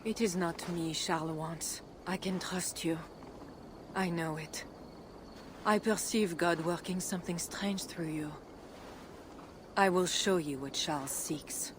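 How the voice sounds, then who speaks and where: A woman playing a devout, enigmatic medieval Frankish queen in a video game cutscene, speaking in a composed, solemn and measured way. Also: on the low side, background noise. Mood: calm, fervent.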